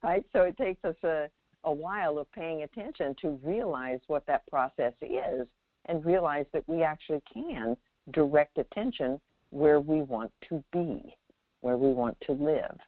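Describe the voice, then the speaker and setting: A woman's voice: low-pitched, no echo. Woman teaching over a tinny phone line. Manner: measured, halting, with pauses and emphatic check-in questions. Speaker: Zen teacher hosting a call-in. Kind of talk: unscripted spiritual guidance on a call-in. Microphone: telephone.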